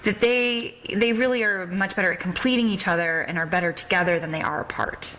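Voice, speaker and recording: A woman's voice: mid-range voice, brisk, bright, forceful tone, rough recording. A woman hosting a casual, conversational interview in an agreeable, affirming way. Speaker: podcast host interviewing an author.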